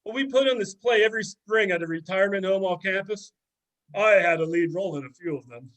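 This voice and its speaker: High-pitched sardonic man playing a former student. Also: fast talker, a bit bright, low-quality recording. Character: sardonic middle-aged former student dishing on an old teacher. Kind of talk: amateur readers theater, conversational script reading.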